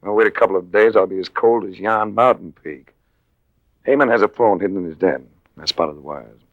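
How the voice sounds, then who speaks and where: Wry American male investigator, lowish pitch, dry close sound, clipped hardboiled 1940s delivery. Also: a little fast, dry recording, narrow, tinny audio. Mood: brisk, commanding. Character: cool, wry American male adventurer-investigator, narrating his own case. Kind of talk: 1940s radio drama acting with first-person narration.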